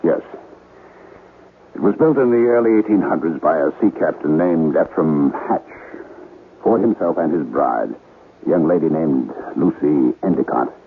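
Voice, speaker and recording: An actor playing an excitable, emphatic businessman client in a radio drama, agitated about a haunted house. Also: a bass voice, normal speed. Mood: earnest storytelling. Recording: dull, noisy old radio broadcast.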